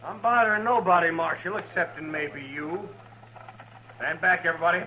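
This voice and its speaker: Sharp, high-pitched gunslinger. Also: male voice, normal speed, poor audio. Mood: defiant, threatening. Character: defiant, menacing cowboy gunslinger, man. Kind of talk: 1950s radio western acting. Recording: old radio recording, faint noise.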